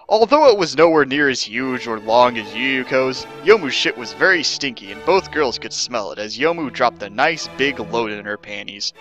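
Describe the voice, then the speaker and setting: Male narrator for parody story reading, theatrical, lively intonation, forceful bright tone. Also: medium pitch, poor audio. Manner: theatrical, emphatic. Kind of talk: mock-dramatic scripted story reading.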